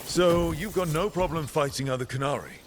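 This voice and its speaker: An actor playing an inquisitive fantasy adventurer hero in a video game, conversational and straight-talking. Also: male voice, fairly high pitch, brisk, background noise, lightly acted. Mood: curious, earnest. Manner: questioning, conversational. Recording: faint game ambience behind.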